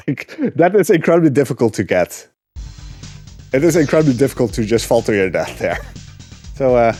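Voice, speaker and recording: A young man with a lowish voice commentating his speedrun in a loose, chatty way, often pausing on "uh" and laughing lightly. Game music plays faintly behind him. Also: darkish tone. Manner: chatty, with frequent uh fillers and laughs. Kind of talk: casual commentary while gaming.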